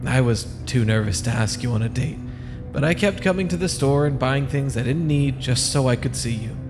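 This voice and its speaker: A man's voice: mid-range voice, moderate pace, lightly acted. An actor playing a lonely, sickly, heartbroken young man in a comic dub, earnest and emotive. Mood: tender, sorrowful. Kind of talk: dramatic comic dub acting. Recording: long reverb, music behind.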